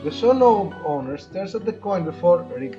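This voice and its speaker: A man's voice: high-pitched, expressive, moderate pace. A man co-hosting a let's play, reading visual novel narration aloud and dropping in casual asides. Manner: corrects co-player's words. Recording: muffled lo-fi audio with music. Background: game music.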